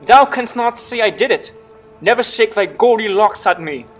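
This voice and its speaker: A man's voice: high-pitched, animated, normal speed, faint music behind. An actor playing a guilt-haunted, raving king in a dramatic reading of Shakespeare. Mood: terrified, raving.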